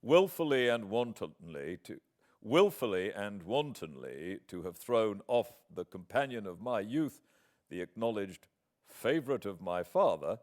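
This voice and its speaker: A British man reading in the part of a proud, wounded young gentleman in a classic novel, formal, measured and emphatic. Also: lowish voice, very animated. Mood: indignant. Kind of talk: dramatic audiobook reading.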